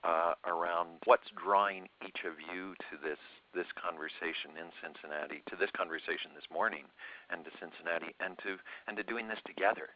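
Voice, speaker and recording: A man moderating a group conference call, speaking reflectively and haltingly as he repeats phrases. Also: low voice, dull audio.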